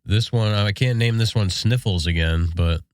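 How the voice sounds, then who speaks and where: A man's voice: fairly low pitch, normal speed, clear, resonant tone, dry recording, studio quality. An American man co-hosting a podcast in casual, laid-back shop talk, sounding congested from a sinus infection. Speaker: podcast co-host.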